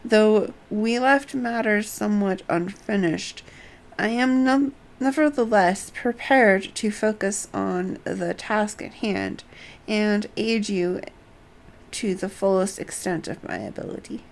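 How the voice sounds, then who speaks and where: Woman, game streamer, medium pitch, gravelly fry, live commentary and read-aloud dialogue, slight background noise. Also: animated, takes it slowly.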